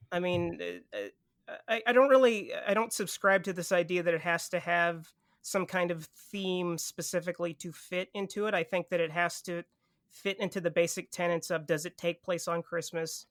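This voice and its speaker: A man talking casually as a podcast film expert, giving blunt opinions in halting, self-correcting phrases. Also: high-pitched, gravelly, a bit bright, dry recording, studio quality.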